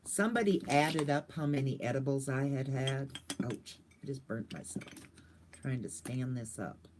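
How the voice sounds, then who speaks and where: Older woman vlogger, chatty and goofy, pitch bouncing all over, casual livestream talk. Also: lively intonation, moderate pace, darkish tone, a little hiss or hum.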